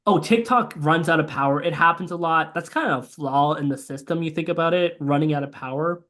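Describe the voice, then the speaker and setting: Young man recapping a movie excitedly. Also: a little high, a little fast. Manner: excited, swears a lot, recaps the plot. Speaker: podcast co-host. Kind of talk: casual movie chat.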